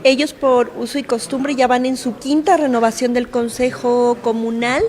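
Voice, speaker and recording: A woman's voice: fairly high pitch, steady tone, normal speed, darkish tone. A woman with a Mexican accent explaining things as an official spokesperson in a press interview.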